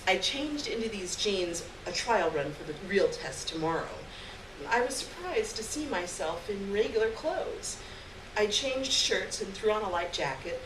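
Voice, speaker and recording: A woman giving an expressive live reading of a short story on stage. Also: mid-range voice, unhurried. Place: indoor stage reading before a live audience. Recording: echoey, noisy live room, distant mic.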